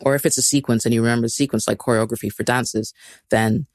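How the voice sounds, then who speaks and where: A podcast host from Kenya who talks in loose, rambling runs full of "like" and laughs at themself. The voice sits at a middling pitch and sounds dry and close, with no room echo. The tone turns motivational between self-mocking asides. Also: steady tone, fast. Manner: rambling and chatty, heavy use of "like", laughs at self. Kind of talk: casual solo live-stream talk.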